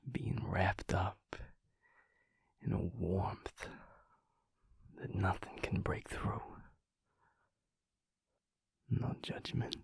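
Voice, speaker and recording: A man playing a tender, earnest werewolf boyfriend in an intimate audio roleplay, speaking softly and haltingly with a breathy, gravelly voice. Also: sits low, no room sound, heavily acted. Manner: hushed, halting, heartfelt, soft-spoken.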